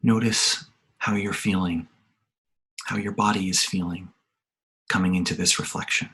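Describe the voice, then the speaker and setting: Male meditation leader, fairly deep and breathy, soothing and unhurried with long silences, on a video call. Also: fairly even intonation, low-quality recording. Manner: slow, quiet, soothing, with long pauses. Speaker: meditation leader, religious organization secretary. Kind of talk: guided meditation.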